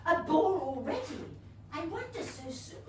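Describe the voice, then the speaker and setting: A scheming middle-aged mother in a period stage comedy. Her voice is high and very animated, fussy and gushing. It comes from a little distance in a roomy theatre. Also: a little slow, slight background noise, exaggerated voice. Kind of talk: comic period stage reading. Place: small London theatre. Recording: echoey theatre, distant mic.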